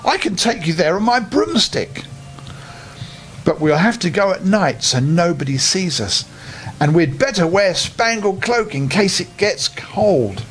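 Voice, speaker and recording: A man's voice: high-pitched, lively intonation. A man plays a kindly witch from a bedtime storybook. She is helpful and reassuring, with a lilting delivery that moves up and down. There is some noise behind the voice. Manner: gentle, reassuring, unhurried. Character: kindly helpful female witch with magic island. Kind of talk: children's storybook character voice.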